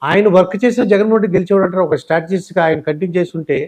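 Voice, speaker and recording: Fast, mellow, higher-voiced Telugu man. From Telugu-speaking southern India. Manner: polite, respectful questioning. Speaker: interviewer. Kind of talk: conversational political interview.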